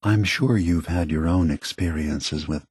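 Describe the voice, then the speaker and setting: Game role: weary old android leader, low and husky, gently persuasive, philosophical, measured delivery. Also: male voice, no echo, clean studio sound, full character voice. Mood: calm, reassuring. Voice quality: soft, husky, breathy. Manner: measured, deliberate, philosophical, reassuring. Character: gentle, weary, aged male synth leader, softly persuasive. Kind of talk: video game voice acting.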